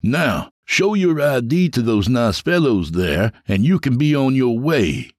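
A man's voice: medium pitch, sing-song, measured pace, a little mellow, dry recording, studio quality, voice pushed up. A man voicing a smug, mocking male officer in an audiobook. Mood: taunting. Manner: mocking, cocky.

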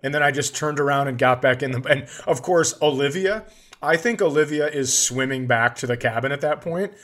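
A man's voice: fairly high pitch, brisk. Man telling casual, profane comic stories. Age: middle-aged. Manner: profane, animated when acting out his outbursts. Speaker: comedy podcast host. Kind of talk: casual comedic storytelling.

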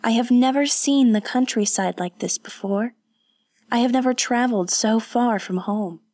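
A woman voicing a sheltered, curious young noblewoman who speaks brightly and eagerly. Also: medium pitch, a little slow, dry recording, pitched above the performer's own voice, close to an everyday voice.

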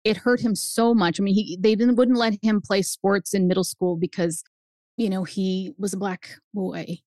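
A woman in her fifties whose first language is Spanish, telling her family story as a guest author, animated and jumping up in pitch for emphasis. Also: moderate pace, no room sound. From United States. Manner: animated, swings up high for emphasis. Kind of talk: personal storytelling in an interview.

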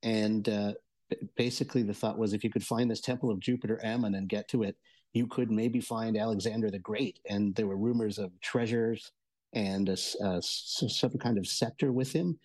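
A man giving a casual review as a show contributor, explaining haltingly and often doubling back on words. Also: on the low side, fairly even intonation, darkish tone. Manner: halting, hesitant, repeats words. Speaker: audio entertainment and tech contributor.

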